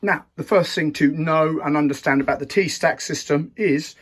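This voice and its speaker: Croaky guy rambling on about a new toolbox, slightly high voice, laughs at himself a lot. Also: normal speed. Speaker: YouTube channel host. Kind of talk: casual tool review vlog.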